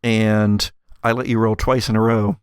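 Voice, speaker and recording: A man narrating an improvised tabletop roleplaying game as game master, telling the story in a halting, deliberate way with dramatic pauses. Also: lowish voice, little pitch movement, clean tone, dry recording, studio quality.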